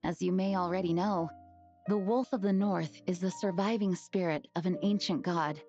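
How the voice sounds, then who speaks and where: A woman's voice: lowish voice, fairly even intonation, warm tone, lightly acted. Woman playing a composed, formal knight leader, slightly muffled. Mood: narrating, earnest. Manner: earnest, formal, measured. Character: composed, dutiful female knight leader recounting lore. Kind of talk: video game voice acting. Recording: slightly dull game audio with music.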